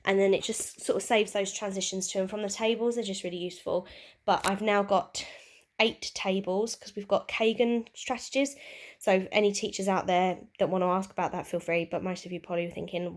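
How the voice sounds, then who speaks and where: A young woman with a stuffy, bunged-up voice, chatting casually and rambling through a shopping haul. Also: mid-range voice. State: bunged up with a cold. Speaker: craft vlogger and teacher. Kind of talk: casual haul vlog chat.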